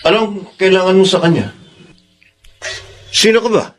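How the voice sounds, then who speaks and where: A high-pitched, jealous Filipino husband. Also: male voice, moderate pace, fairly bright tone. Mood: confrontational. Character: jealous, insecure husband, possessive and wounded. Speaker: radio drama actor. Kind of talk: melodramatic Filipino radio drama acting.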